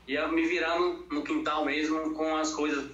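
A man's voice: a little high, level tone, normal speed, a bit bright. A Brazilian man from Bahia chatting casually as an interview guest, plain-spoken and colloquial. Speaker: interview guest, fitness professional. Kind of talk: casual chat. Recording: echoey room, dull lo-fi sound.

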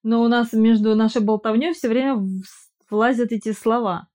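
A woman's voice: fairly high pitch, fairly even intonation, a little fast, a little mellow. A woman who grew up speaking Russian and now lives in Israel, chatting casually and hesitantly, with many fillers and restarts. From Russian-speaking immigrant living in Israel. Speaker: interviewee. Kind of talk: casual conversation.

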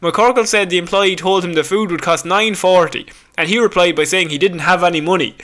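Male comedy co-host, high voice, bright tone, casual banter, jokey mock-dramatic news reading. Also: steady tone, fairly quick. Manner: jokey, mock-dramatic retelling with acted quotes.

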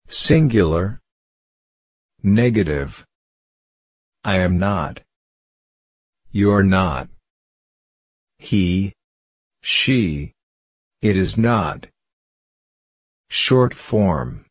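Slow, deliberate man reading a lesson. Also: on the low side, no echo, clean studio sound. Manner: measured, clearly enunciated drill reading. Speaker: course audio narrator.

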